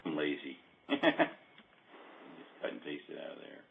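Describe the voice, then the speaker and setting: A man talking informally through a software demo on a remote call, often muttering to himself as he works. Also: extremely low pitch, fairly even intonation, unhurried, gravelly, slight background noise. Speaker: software demonstrator. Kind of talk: casual screen-share demo talk. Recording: dull narrow-band call audio.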